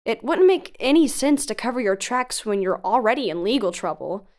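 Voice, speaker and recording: A woman's voice: on the high side, lively intonation, moderate pace, close to an everyday voice. An actress playing a cautious, analytical female researcher who investigates for a podcast, earnest and articulate, in an audio drama. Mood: reasoning. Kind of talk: audio drama acting, dialogue and narration.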